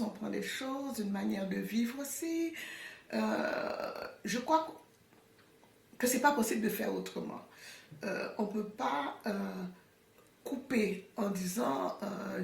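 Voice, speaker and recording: A woman from Haiti with a Swiss French accent and a faint Haitian trace, speaking slowly and thoughtfully in a documentary interview. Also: a little high, gravelly. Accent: Swiss French with a slight Haitian trace. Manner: slow, hesitant, thoughtful. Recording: echoey, distant mic.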